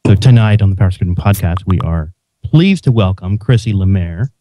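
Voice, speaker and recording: A man's voice: low voice, expressive, brisk, dark tone, no room sound. A man hosting a podcast, chatting casually and restarting his sentences often. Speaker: podcast host. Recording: dull lo-fi sound.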